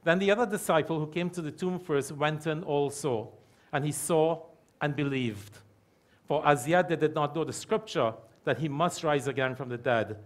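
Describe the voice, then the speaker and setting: A middle-aged man preaching a sermon from a church pulpit mic, repeating key phrases slowly for emphasis. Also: on the high side. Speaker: pastor. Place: church hall with stage curtains.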